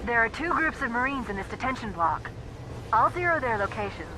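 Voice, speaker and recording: A woman playing a clever, wry military AI companion in a video game, briefing briskly. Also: fairly high pitch, sing-song, background noise. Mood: businesslike, focused. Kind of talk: scripted video game acting. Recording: voice under loud music.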